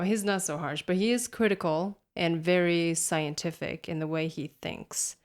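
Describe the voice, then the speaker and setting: A woman from Taiwan whose first language is Mandarin Chinese, speaking English with a Taiwanese accent with an American tinge as an earnest, warm podcast co-host and English teacher. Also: on the low side. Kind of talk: casual podcast chat.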